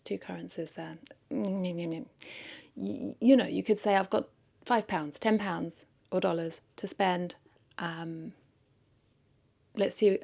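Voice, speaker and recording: Woman, lowish pitch, heavy vocal fry, casual vlog chat, thinking aloud, self-correcting. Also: darkish tone. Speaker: content creator, vlogger.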